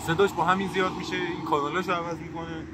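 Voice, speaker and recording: A man's voice: on the high side, little pitch movement, noisy background. A young man vlogging an unboxing with a friend, joking and playful in casual chat. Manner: playful, jokey, talks over his friend. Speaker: vlogger unboxing a walkie-talkie.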